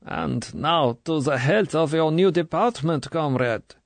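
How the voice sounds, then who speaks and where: A man's voice: on the high side, measured pace, no echo, voice pushed up. A man voicing a sugary, menacing middle-aged female Soviet colonel, in an audiobook. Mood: toasting. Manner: falsely sweet, smooth, then cold and commanding.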